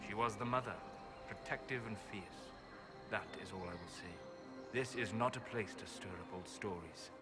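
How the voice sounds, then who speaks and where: An actor playing a grave, secretive elven sage in a video game, solemn and deliberate, with dry disdain. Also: male voice, fairly low pitch, lightly acted. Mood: dry, guarded. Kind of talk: video game dialogue acting. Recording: loud music under voice.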